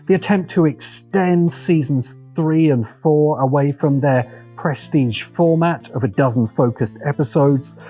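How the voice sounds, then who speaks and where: A man narrating a scripted video essay in a measured, dramatic way, with deliberate phrasing and pauses. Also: a little high, dark tone. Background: background music.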